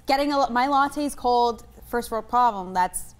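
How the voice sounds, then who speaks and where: A woman's voice: fairly high pitch, a little slow. A woman chatting casually and giving blunt opinions on a talk show panel.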